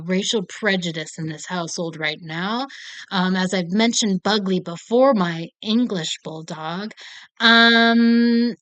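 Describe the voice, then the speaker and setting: A woman's voice: mid-range voice, a bit bright, smooth, no echo. A young American woman chatting casually about films, earnest and playful, with many fillers. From United States. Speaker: film reviewer. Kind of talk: casual film commentary.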